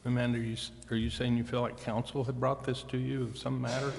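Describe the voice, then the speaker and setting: A man making his point in a measured, plain-spoken way as a council member at a public meeting. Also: medium pitch, level tone, gravelly, darkish tone. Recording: reverberant hall, faint background noise.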